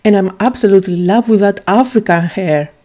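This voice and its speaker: A woman's voice: mid-range voice, brisk, warm tone. Woman narrating a craft tutorial. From Greece. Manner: chatty and enthusiastic, with frequent "uh" fillers.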